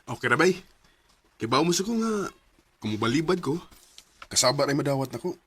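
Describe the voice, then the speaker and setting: Earnest, expressive Bisaya office guy. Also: on the high side, brisk, husky, heavily acted. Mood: meek, earnest. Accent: Filipino, Bisaya. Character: earnest, meek, hardworking male office employee. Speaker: radio drama actor. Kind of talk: Bisaya radio drama acting with inner monologue.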